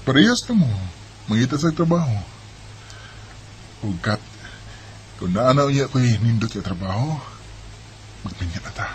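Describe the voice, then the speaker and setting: A man's voice: medium pitch, animated, normal speed, long pauses, a little hiss or hum, lightly acted. A man acting an insistent, ardent young college boyfriend in a Filipino Bisaya radio drama. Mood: tender, earnest.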